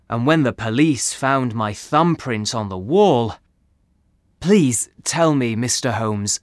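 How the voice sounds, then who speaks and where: A man's voice: mid-range voice, a bit bright, no room sound, clean studio sound, pitched above the performer's own voice. A man voicing a relieved, grateful young man who speaks earnestly.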